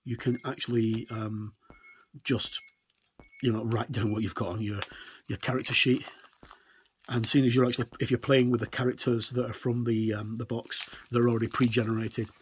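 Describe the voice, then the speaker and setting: A man giving a casual, unscripted product walkthrough as a video reviewer, talking in a halting, conversational way. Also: medium pitch, little pitch movement, a little hiss or hum. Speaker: game reviewer and video presenter.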